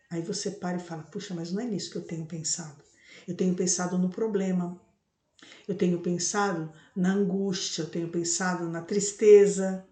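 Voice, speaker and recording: Bright-less, mellow Brazilian woman teaching in echo. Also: fairly low pitch. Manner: didactic, with rhetorical questions and frequent tag questions. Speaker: evangelical bishop and Bible teacher. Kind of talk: devotional teaching, explanatory talk. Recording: roomy, echoing room sound.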